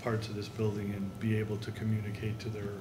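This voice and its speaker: Flat-toned man in a noisy, echoey room. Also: fairly low pitch, fast. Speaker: school board meeting speaker. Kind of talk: measured meeting discussion. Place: indoor board meeting room.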